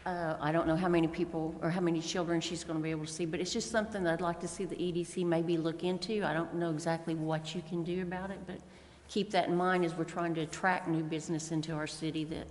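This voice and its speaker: A woman's voice: on the low side, level tone, quick pace, darkish tone. A middle-aged woman making informal, slightly rambling remarks as a council member at a public meeting. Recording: echoey meeting chamber with background noise.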